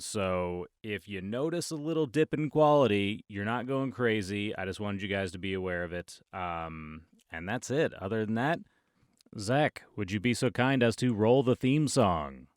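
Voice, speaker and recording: Guy doing podcast housekeeping, casual, low key, talking straight to listeners. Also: normal speed, no room sound, clean studio sound. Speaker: podcast host.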